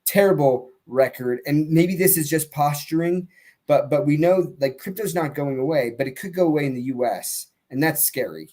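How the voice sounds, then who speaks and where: A man's voice: a little high, normal speed, dark tone. A man on a casual podcast panel who rambles with many restarts and gets heated as he makes his point. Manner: rambling, restarts often, heated about politics.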